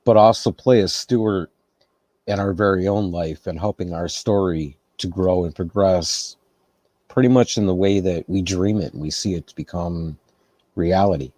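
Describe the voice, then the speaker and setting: A man hosting a casual interview, relaxed and reflective, laughing easily. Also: low voice, normal speed, no echo. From United States. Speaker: podcast host.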